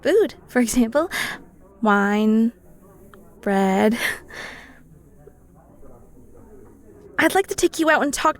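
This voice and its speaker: High-voiced, playful, flirty cheesemonger played by a woman. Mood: nervous, smitten. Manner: bubbly, teasing, giggly, breaks into near-whispers. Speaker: audio roleplay voice actor. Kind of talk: intimate flirty audio roleplay, one-sided conversation.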